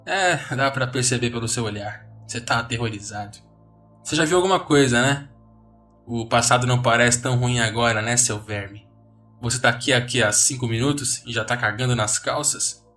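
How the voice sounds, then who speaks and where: A man's voice: mid-range voice, normal speed, sharp tone, recorded from a distance, faint music behind, natural voice. A man voicing a contemptuous, jaded man in his thirties who mocks newcomers with weary scorn. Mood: sneering contempt. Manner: sneering, mocking, world-weary.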